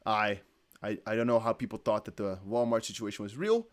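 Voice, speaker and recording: A man's voice: lowish voice, a little fast. A young man whose first language is Dutch, rambling casually on a livestream and reading viewer comments aloud. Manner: rambling, self-correcting, chatty. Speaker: crypto livestream host.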